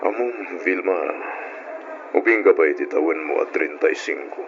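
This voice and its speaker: A man's voice: low voice, normal speed, close to an everyday voice. A man playing a pushy, lecherous customer, insinuating and theatrical in an old-style radio drama. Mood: leering, insinuating. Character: lecherous, pushy male customer preying on a lonely wife. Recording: muffled radio recording, faint noise.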